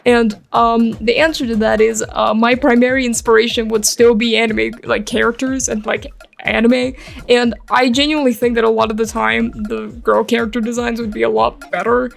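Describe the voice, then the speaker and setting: A woman's voice: on the high side, flat delivery, moderate pace, gravelly. A young woman with an American accent giving casual, chatty commentary in a rambling, self-deprecating, giggly way. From England and Eastern Europe, later New York. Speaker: art YouTuber. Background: background music.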